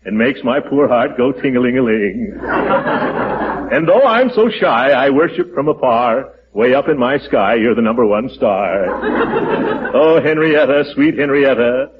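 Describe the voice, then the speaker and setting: A man's voice: mid-range voice, expressive, unhurried, natural voice. Man playing a blustery, bumbling uncle, wildly swooping voice. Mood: gushing recital. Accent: American. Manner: theatrical, chuckling, blustery. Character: bumbling middle-aged uncle, pompous, scheming matchmaker, easily flustered. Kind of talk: 1940s American radio comedy acting. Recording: dull, noisy old radio recording.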